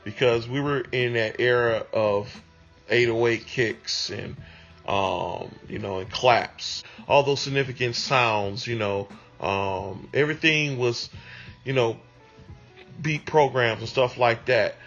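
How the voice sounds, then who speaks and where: A man speaks in a mid-range voice, recalling things in a relaxed interview manner with plenty of ums and pauses. The recording sounds a little muffled, with soft music playing underneath. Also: mid-range voice. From Detroit, USA. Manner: unhurried, conversational, with many ums. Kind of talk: reflective interview answers.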